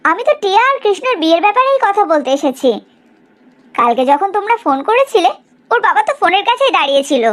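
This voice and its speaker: A woman voicing a proud, sharp-tongued middle-aged village mother in a cartoon story, in an exaggerated, gossipy way. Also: extremely high pitch, big pitch swings, fast talker, full character voice. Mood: pleading, insistent. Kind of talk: Bengali cartoon story dialogue.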